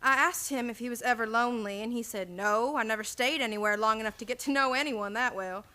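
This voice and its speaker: A woman playing a warm, spirited frontier woman in a Western radio play, familiar and conversational. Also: fairly high pitch, fast. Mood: chatty.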